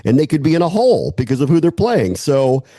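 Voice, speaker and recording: American man, mellow sports-analyst voice. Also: fairly high pitch, sing-song, quick pace, dry recording, clean studio sound. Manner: opinionated, weighing points aloud with rhetorical questions. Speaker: sports analyst, podcast guest. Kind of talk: conversational American football analysis.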